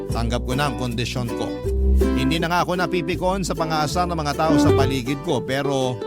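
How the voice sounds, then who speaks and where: A man's voice: a little high, natural voice. An actor playing a lovestruck man who earnestly confides longing and self-doubt in dramatic first-person narration. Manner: earnest, wistful. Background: music, plucked guitar.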